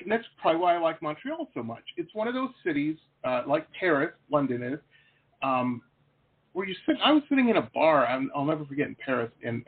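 Man, middle-aged, host, husky voice, rambling animated talk, tinny phone-like sound. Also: fairly high pitch. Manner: rambling, animated storyteller with many fillers. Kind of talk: casual interview chat. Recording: phone-like lo-fi line.

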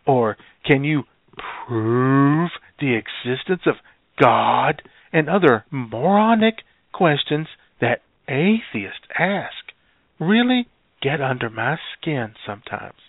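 A man's voice: medium pitch, very animated, slow pace, vocal fry, no room sound, heavily acted. A man voicing a smug, condescending male radio preacher in a comic bit, heard as a tinny, phone-like recording. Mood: preachy.

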